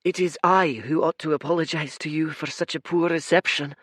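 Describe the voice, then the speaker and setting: A man voicing a gentle, soft-spoken male spirit of kindness. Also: a little high, steady tone, brisk, dry recording, clean studio sound, voice pushed up.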